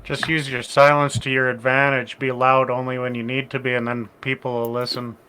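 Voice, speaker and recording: A man chatting as a guest, giving calm, measured advice. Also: mid-range voice, slight background noise, poor audio. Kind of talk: casual chat.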